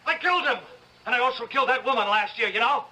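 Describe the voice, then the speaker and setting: Shrill, manic young hoodlum. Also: male voice, fast, pushed and bright, heavily acted. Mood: defiant, manic. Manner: agitated, ranting, taunting. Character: defiant, manic young hoodlum on trial boasting of murder. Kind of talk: old-time radio drama acting. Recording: noisy old radio drama recording.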